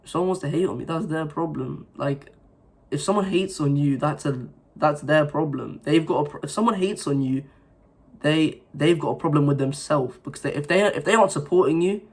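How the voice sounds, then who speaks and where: A man's voice: on the high side, fairly quick, slightly rough. A young man with a sore throat from recent illness, giving an earnest, rambling motivational talk to his viewers with many fillers and restarts. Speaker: self-improvement vlogger. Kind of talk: casual motivational talk to camera.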